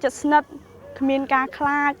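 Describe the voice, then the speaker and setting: A young woman giving an interview answer with hesitations. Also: rapid-fire. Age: young adult. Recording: dull, muffled audio with background noise.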